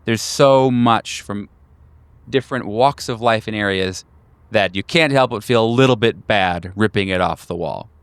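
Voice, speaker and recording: A man narrating a horror tabletop game as game master, descriptive and deliberate, pausing between phrases. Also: on the low side, clear, resonant tone, no echo.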